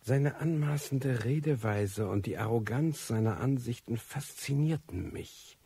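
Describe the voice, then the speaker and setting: An actor playing a disillusioned, reclusive manuscript reader, narrating a radio play in a brooding, ironic way with a husky, gravelly voice. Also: male voice, mid-range voice, unhurried, dark tone, dry recording, full character voice. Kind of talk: scripted first-person radio play narration.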